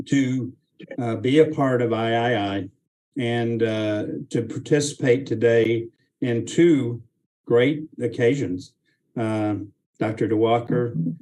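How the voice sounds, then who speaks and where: A man speaks very slowly at a medium pitch, offering formal thanks. He is measured and gracious, pausing and hesitating as he puts his unscripted words together. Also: darkish tone. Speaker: speaker giving closing thanks.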